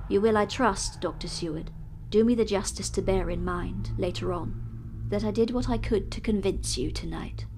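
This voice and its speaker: A woman's voice: medium pitch, moderate pace, lightly acted. A woman voicing a frantic male asylum patient who begs desperately and imploringly, close to tears. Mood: quiet, well-bred.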